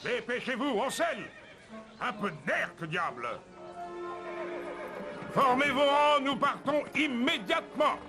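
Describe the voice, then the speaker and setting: A high-pitched male officer, brisk and bossy. He delivers commands with martial authority over prominent cartoon music. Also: animated, exaggerated voice. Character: brisk, bossy military officer barking orders. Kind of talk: French cartoon dub acting.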